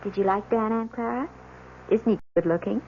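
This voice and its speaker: An actress playing a giddy, eager young newlywed wife in an old-time radio drama, with a gushing manner. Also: female voice, mid-range voice, moderate pace, lightly acted. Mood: anxious, defensive. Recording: tinny, noisy old radio broadcast.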